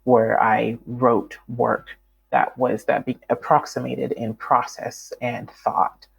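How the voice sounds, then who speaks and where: A woman's voice: extremely low pitch, level tone, slow, vocal fry. A woman from Canada, a writer talking as an interview guest, thoughtful and full of fillers and restarts. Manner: reflective, many fillers and restarts. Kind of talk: conversational interview.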